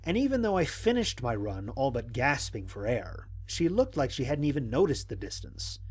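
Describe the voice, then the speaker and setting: A man playing a wry, analytical stranded astronaut, narrating mission logs in an audio drama in a measured, articulate way. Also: medium pitch, big pitch swings, a little mellow, a little hiss or hum, natural voice. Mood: reflective, wry. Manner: measured, articulate, dryly humorous. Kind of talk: dramatic scripted log narration.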